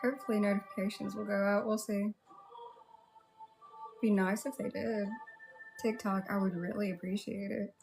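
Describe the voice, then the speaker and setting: A woman's voice: medium pitch, steady tone, moderate pace, vocal fry, pauses often, music in the background, poor audio. A woman with a Southern US accent hosting a casual livestream, chatting and addressing viewers one by one. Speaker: livestream host.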